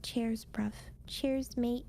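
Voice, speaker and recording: A woman's voice: a little high, steady tone, very slow, vocal fry, mellow, slight background noise. A woman with a cutesy, theatrical, teasing manner, bantering casually on a livestream and dropping in playful exclamations. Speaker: VTuber collab partner and streamer.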